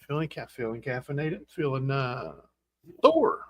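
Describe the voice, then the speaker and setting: A man in his forties hosting a casual livestream chat, rambling and halting with fillers and restarts. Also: mid-range voice, expressive, no echo, studio quality. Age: 40s. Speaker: host.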